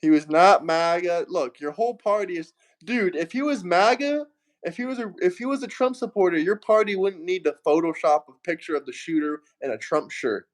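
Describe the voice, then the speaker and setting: A man's voice: sits high, lively intonation, moderate pace. A man hosting a livestream, arguing heatedly and mockingly with a caller and asking viewers for super chats. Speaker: livestream host. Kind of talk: heated political livestream debate.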